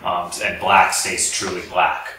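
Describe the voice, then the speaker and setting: A man's voice: sits low, level tone, unhurried, pushed and bright. An American man presenting informally and answering audience questions in a conversational, explanatory way. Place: echoey lecture room with an audience. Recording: roomy, echoey hall, distant mic, faint noise.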